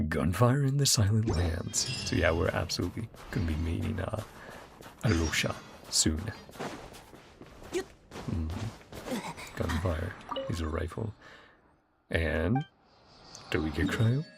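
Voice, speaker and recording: A man's voice: deep voice, animated, measured pace, vocal fry, long pauses. A man casually commenting on a video game he is playing, reading the game text aloud and chuckling now and then. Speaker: gaming streamer. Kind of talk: casual let's-play commentary. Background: game music.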